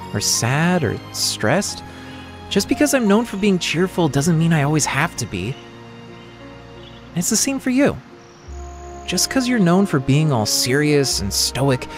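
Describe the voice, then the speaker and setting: A performer voicing a cheerful, easygoing young creature, earnest and childlike, in a casual cartoon comic dub. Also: medium pitch.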